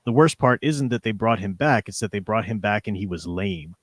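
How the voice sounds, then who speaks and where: A man's voice: fairly low pitch, lively intonation, fairly quick, no room sound. Man hosting a casual discussion, dry close sound. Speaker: live stream host. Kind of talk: casual discussion, reading viewer comments.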